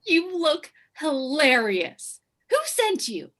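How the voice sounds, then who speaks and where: Woman playing a bright, high-voiced secretary. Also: sing-song, slow, exaggerated voice. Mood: amused. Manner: wry, amused. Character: amused, sardonic female office secretary. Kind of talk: comedic script read.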